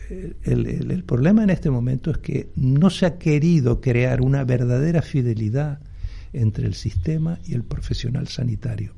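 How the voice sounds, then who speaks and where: A gravelly, husky older man. He is a doctor talking on a radio interview, and he starts over often and pads his sentences with fillers. His voice sits dark and mellow. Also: mid-range voice, highs cut off. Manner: halting, many restarts and fillers. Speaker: doctor and health advocacy guest. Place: radio studio.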